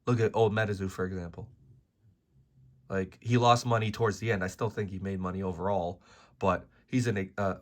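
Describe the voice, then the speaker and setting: Hoarse low-voiced man ranting. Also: steady tone, brisk. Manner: blunt, profane, exasperated ranting. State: hoarse from a lost voice and sick. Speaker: trading card game YouTuber. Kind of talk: ranting video commentary.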